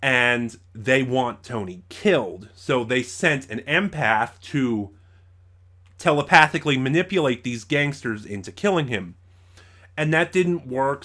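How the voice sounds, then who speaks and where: A man's voice: medium pitch, fairly bright tone. A man hosting an informal spoken review, opinionated and rambling, often stopping to correct himself. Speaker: reviewer, channel host.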